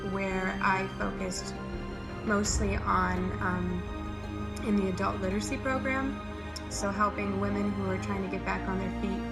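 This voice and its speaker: A young woman from the American Midwest, a teacher, giving an earnest and articulate personal account. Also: medium pitch. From United States, Midwest. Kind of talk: personal testimony. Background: music.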